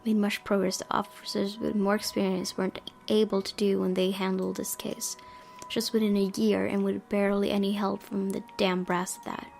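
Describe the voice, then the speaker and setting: A woman's voice: medium pitch, flat delivery, moderate pace, gravelly, darkish tone, faint music behind. A woman game streamer reading visual novel narration aloud expressively, dropping in her own asides.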